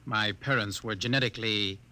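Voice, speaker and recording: An actor playing a bitter but courteous genetic outcast, speaking in a measured, formal way in a 1950s radio drama. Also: male voice, fairly low pitch, steady tone, sharp tone. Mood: bitter, wry. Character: bitter, courteous male outcast specimen with twisted leg.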